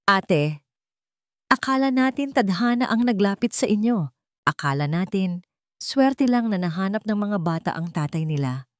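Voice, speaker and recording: A woman storyteller acts out an angry young male cousin in a radio-style drama. He is accusing and bitter, his delivery lively and confrontational, close and dry in sound. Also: medium pitch, moderate pace, no echo, close to an everyday voice. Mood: grave, disappointed. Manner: trembling with anger, confrontational.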